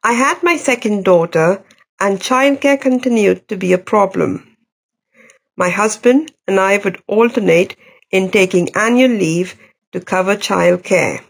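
Wanita beraksen India-Wales, suara serak kasar. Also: female voice, medium pitch, a little slow, vocal fry, breathy edge. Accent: Welsh Indian. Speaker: psychiatrist. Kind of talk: reading a personal story.

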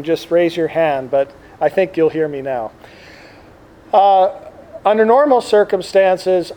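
A man giving an informal religious talk to a gathering as a priest, reflective and halting, speaking up to be heard. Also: high voice, warm tone, rough recording. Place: indoor room with air conditioning. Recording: clear background air conditioner noise. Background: air conditioner noise.

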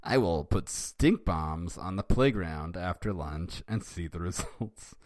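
A man's voice: sits low, expressive, slow pace, a little mellow, dry recording, clean studio sound. A man voicing a brash, mischievous schoolboy. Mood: scheming. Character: mischievous cocky ten-year-old boy.

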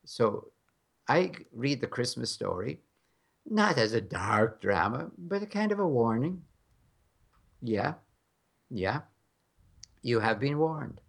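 A man's voice: medium pitch, sing-song, slow pace, vocal fry, long pauses. An American man talking as a guest in an interview, frank and emphatic with a wry edge. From United States. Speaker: guest scholar. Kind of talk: conversational interview.

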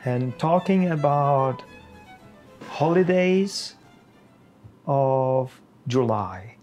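A middle-aged man from the Czech Republic with a Czech accent, a pastor speaking slowly and haltingly with many pauses in a podcast conversation. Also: medium pitch, lively intonation, a little mellow, faint music behind.

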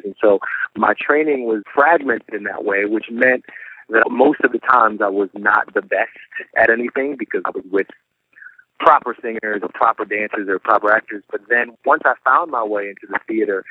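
A man's voice: fairly low pitch, no echo, phone-quality sound. A man in his thirties from New York, raised in Philadelphia, answering interview questions as a guest actor in a thoughtful, storytelling way. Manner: thoughtful, unhurried storytelling with small restarts.

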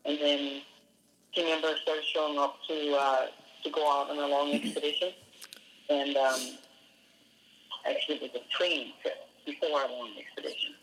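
A man's voice: a little high, steady tone, normal speed, pauses often, fairly bright tone, slight background noise, low-quality recording. A man from Denmark, a dog musher, answering interview questions in a hesitant way with many fillers and restarts. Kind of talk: casual interview.

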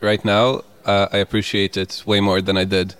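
Young man, English, smooth clear voice, level flat delivery, relaxed reflective interview talk. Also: fairly low pitch, normal speed. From Croatia. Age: young adult. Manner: easygoing, reflective, laughs often. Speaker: musician guest.

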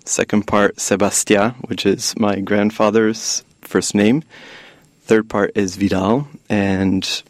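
A young man from the United States speaks in a low, friendly voice. He explains things at an easy pace and laughs often, recorded dry and close to the mic. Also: fairly even intonation, takes it slowly, dry recording. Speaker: guest, graduate student. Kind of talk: casual podcast chat.

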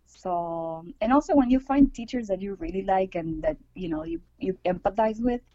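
A woman's voice: medium pitch, lively intonation, normal speed, warm tone. A young woman from Argentina talks casually about tango in a podcast interview, sprinkling her answers with "like" and "you know." Her voice sounds dry and a little dull, as if recorded with no room echo. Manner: chatty, many fillers and like, you know. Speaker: tango dancer and teacher.

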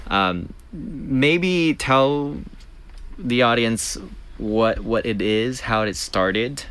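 A man's voice: mid-range voice, drawn-out words, fairly bright tone, clear, resonant tone. A man hosting a casual interview, friendly and chatty, backing up his guest with frequent short replies and asking loose, rambling questions. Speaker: interviewer, podcast host.

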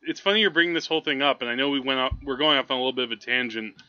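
A man co-hosting a podcast, chatting casually and jokily about movies, quick to laugh. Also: medium pitch, sharp tone, dull audio. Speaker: podcast co-host.

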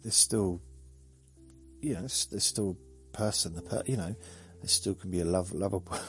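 A man hosting a sleep podcast, talking slowly in a meandering, deadpan, joking way with faint music behind. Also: low voice. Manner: slow, meandering, deadpan humor. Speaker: podcast host. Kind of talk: rambling sleepy monologue.

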